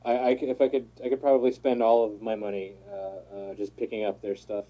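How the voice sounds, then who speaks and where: A man's voice: fairly low pitch, flat delivery, fairly quick, lo-fi sound. A man in his thirties on a casual panel, talking eagerly and jokily, often stumbling over his words. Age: 30s.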